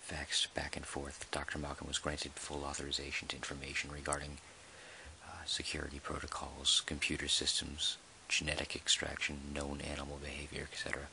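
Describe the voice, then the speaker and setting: A man playing a measured, dry park employee who dictates an official audio log in a halting, deliberate way, in ASMR roleplay style. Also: rumbling low, completely flat delivery, creaky, pushed and bright, a little hiss or hum, heavily acted, poor audio. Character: male dinosaur park employee, measured, dryly put-upon record keeper.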